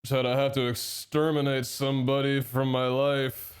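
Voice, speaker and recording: A man's voice: mid-range voice, moderate pace, clean tone. A young man streaming live, chatting with his chat and thanking subscribers, a little nasal from a head cold. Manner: chatty and excitable, reads out chat and thanks subs.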